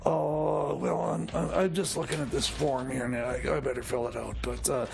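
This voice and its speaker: A man playing a bumbling, officious small-town parole officer in comedy radio theater, stammering and halting over his words. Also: mid-range voice, steady tone, vocal fry, noisy background, lightly acted. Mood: nagging, officious. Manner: stammering, halting, full of hesitation.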